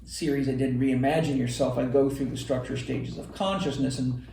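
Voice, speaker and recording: A man's voice: medium pitch, fairly even intonation, normal speed, gravelly, dark tone, a little hiss or hum. A man hosting a podcast, answering a listener's question in a thoughtful, explaining way. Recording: roomy, from a distant mic.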